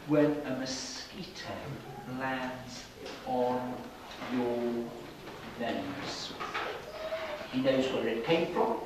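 Middle-aged male children's storyteller, slow and very expressive; distant, noisy recording. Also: medium pitch. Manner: slow, animated, with pauses and questions to the children. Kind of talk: interactive Bible storytelling to young children. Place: children's classroom with seated young audience. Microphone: distant camera at back of room. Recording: echoey, noisy room recorded from far off.

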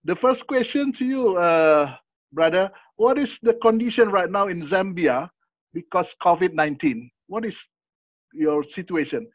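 Male host on headset, high-ish voice, halting English, dry dull audio. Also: animated, no room sound. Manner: halting non-native English, frequent fillers and encouraging backchannels. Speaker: interview host. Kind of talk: video call interview.

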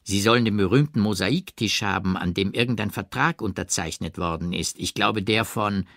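A man's voice: lowish voice, normal speed, gravelly, a bit bright, no echo. Old male general, read by a man, audiobook, gallant, plain-spoken, courteous, close dry recording. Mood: courteous, insistent. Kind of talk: audiobook character reading.

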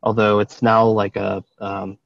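A man's voice: on the low side, little pitch movement, measured pace, creaky, no room sound. A young man wearing headphones and sitting outdoors, speaking on a video call in a thoughtful way and rephrasing as he goes. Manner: thoughtful, self-correcting. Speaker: panelist. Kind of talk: casual video call discussion. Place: outdoors on a deck among trees. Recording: dull lo-fi call audio.

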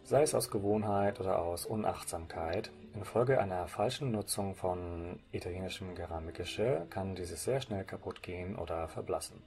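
A man's voice: sits low, normal speed, hardly any pauses, a little mellow, faint music behind. A man from Germany presenting, reading scripted narration for a slide presentation in a formal way. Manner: formal, read-out delivery.

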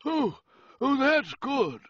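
A man's voice: very high voice, big pitch swings, slow, a bit bright, no echo, dull audio, pitched above the performer's own voice, exaggerated voice. A man voicing a flustered, blustering old man in a storybook reading, stammering and exclaiming. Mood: relieved.

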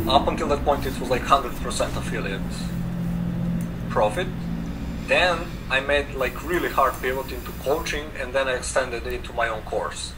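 Serbian-accented young man, relaxed interview guest on a video call, mic set back, steady room hum. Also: mid-range voice. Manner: relaxed, frequent "like" fillers, gestures while talking. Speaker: guest, affiliate marketer. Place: home room indoors. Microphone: video call webcam. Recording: distant webcam mic with air conditioner hum.

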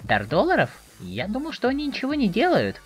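A woman's voice: fairly high pitch, brisk, husky, faint music behind, exaggerated voice. A woman playing an eager, naive teenage boy, chatty and inquisitive, in a dramatic webcomic reading. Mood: curious. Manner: excitable, inquisitive, expressive.

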